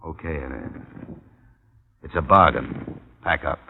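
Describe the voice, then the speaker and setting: An actor playing a blunt, no-nonsense American insurance investigator in a radio crime drama, speaking tersely. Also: male voice, very deep voice, slow pace, creaky, heavily acted. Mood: curt. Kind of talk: 1950s radio drama acting, some narration. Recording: muffled, noisy old radio recording.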